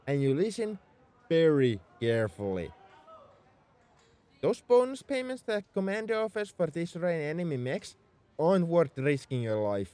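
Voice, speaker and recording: A man's voice: on the high side, warm tone. A man playing a young tsundere spaceship mech engineer in an audio roleplay, defensive about his feelings as he speaks straight to the listener. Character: gruff-caring tsundere male mech engineer, young adult. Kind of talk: ASMR roleplay acting, addressed to the listener.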